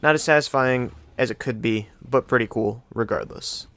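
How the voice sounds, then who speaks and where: Clear-voiced man doing casual countdown commentary. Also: mid-range voice, moderate pace, fairly bright tone. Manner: informal, conversational, rambling. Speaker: video game list host.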